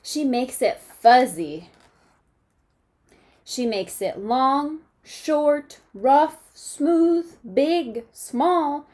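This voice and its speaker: Animated, high-pitched woman reading to children. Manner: animated, pausing to check understanding. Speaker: teacher reading a picture book aloud to children. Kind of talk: expressive children's read-aloud with asides and questions.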